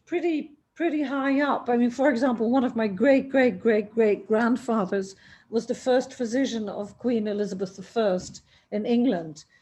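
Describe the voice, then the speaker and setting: Woman from the netherlands, middle-aged, warm mellow tone, meandering storyteller, restarts mid-sentence. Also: a little high, normal speed. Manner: rambling, restarts often, trails off. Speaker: guest, singer and writer. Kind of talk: remote interview, conversational storytelling. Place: home in Dublin, near a busy road.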